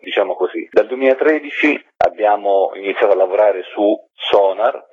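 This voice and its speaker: A man's voice: lowish voice, breathy edge. A man being interviewed as a guest, courteously and articulately explaining a project. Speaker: guest, theatre company artistic director. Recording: muffled, narrow telephone line into radio.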